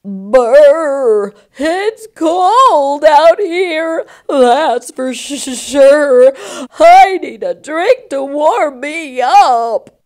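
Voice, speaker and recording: A woman voicing a cold, shivering snowman from a children's storybook, with a quavering, drawn-out, exaggerated delivery. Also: high-pitched, animated, darkish tone, heavily acted. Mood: shivering, complaining.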